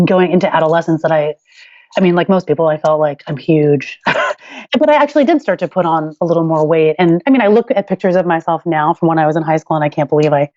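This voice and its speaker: A woman in her forties speaking as an interview guest, candid and reflective, her thoughts tumbling out in restarts punctuated by light laughter. Her voice sits a little low and is recorded close and dry. The audio is somewhat dull and lo-fi. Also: a little mellow, dry recording. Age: 40s. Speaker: guest author. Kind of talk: reflective personal storytelling.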